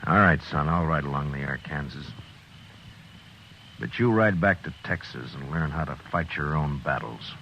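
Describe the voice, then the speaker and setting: Casting: tough frontier lawman, very low American voice, stern, vintage radio western, dull sound with music. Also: normal speed, a little hiss or hum. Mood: gruff, scolding. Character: tough, stern US Marshal in the Old West. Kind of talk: 1950s radio western acting, first-person narration.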